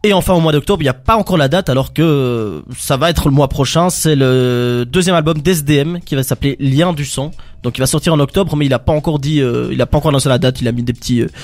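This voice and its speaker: A man presents music news in a casual panel discussion. He talks very quickly at a medium pitch, yet keeps breaking the flow with filled pauses. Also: a little mellow.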